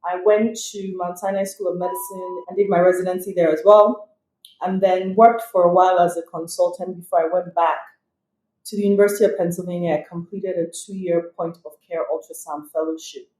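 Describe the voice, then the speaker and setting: A woman's voice: on the low side, steady tone, fairly quick, warm tone. A middle-aged woman, an emergency physician, answering interview questions conversationally with light laughs and fillers. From Nigeria. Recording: echoey room.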